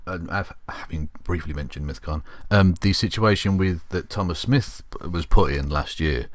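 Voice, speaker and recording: A man with a deep, low voice gives casual panel commentary as a co-host. He is blunt and scornful, often halting mid-phrase. The recording is dry and close. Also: dry recording.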